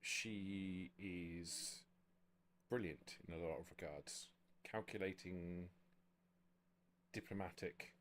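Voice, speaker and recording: A very deep-voiced, cautious vampire retainer, played by a man at a tabletop game. He gives counsel formally and with care, in measured and halting phrases. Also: a little hiss or hum. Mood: grave, careful.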